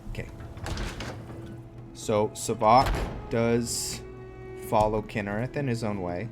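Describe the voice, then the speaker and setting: A man with a low voice narrates his roleplay game at a very slow, halting pace. He explains the lore casually, thinking aloud as he goes, with music playing behind him. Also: steady tone. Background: game music and game characters.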